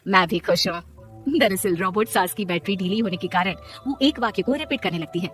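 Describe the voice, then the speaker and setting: A woman's voice: medium pitch, animated, brisk, soft background music. A woman narrating a scripted story in a dramatic storytelling manner.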